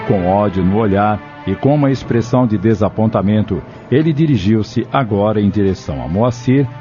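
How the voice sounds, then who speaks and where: A man's voice: on the low side, warm tone. A man narrating an audio novel from a script. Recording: dull lo-fi narration recording.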